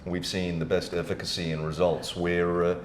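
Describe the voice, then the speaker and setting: A middle-aged man with a deep voice hosts a casual podcast conversation. The room is noticeably echoey, with a faint low rumble behind him. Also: little pitch movement, normal speed. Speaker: podcast host.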